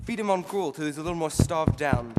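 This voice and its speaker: An actor playing a pompous parish beadle. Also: male voice, fairly high pitch, animated, fairly quick, big-room sound, loud music behind the voice, lightly acted. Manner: pompous, lecturing. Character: pompous self-important male parish beadle. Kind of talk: radio drama acting.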